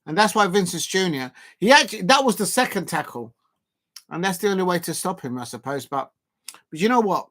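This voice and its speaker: A man from Colombia hosting a live football watch-along, talking casually over the match with many fillers and restarts and sudden excited outbursts. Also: high voice, brisk.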